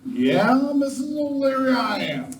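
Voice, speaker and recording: Man playing a high-voiced small-town sheriff, echoey. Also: big pitch swings, a little slow, a crowd in the background, full character voice. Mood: firm. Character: plain-spoken small-town Western sheriff. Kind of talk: amateur live Western radio play. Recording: echoey room, distant mic.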